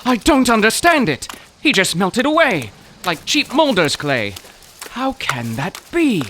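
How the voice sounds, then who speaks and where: An actor playing an excitable, wordy poetry professor in a comic mystery audio drama, florid, overwrought and prone to stammering. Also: male voice, high-pitched, a little slow, noisy background, exaggerated voice. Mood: distraught, bewildered.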